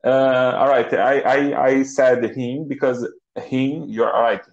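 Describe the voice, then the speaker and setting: A Brazilian man in his thirties, a native Portuguese speaker, talks through an online English lesson in halting English with many restarts. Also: medium pitch, fairly even intonation. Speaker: English student. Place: home office with bookshelves. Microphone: laptop webcam mic on a video call. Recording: roomy, dull video-call audio.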